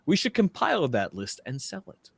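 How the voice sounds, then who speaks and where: A man's voice: on the high side, wildly swooping pitch, moderate pace, gravelly, slightly rough. A man co-hosting a podcast, chatting casually and doing mock-dramatic readings in an amused, rambling way. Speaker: podcast co-host.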